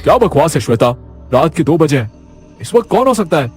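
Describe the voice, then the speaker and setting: A man's voice: fairly high pitch, very animated, brisk, faint music behind, voice pushed up. Man acting a firm, raised-voice doctor husband. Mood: irritated, dismissive. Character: stern, dismissive doctor husband in horror cartoon.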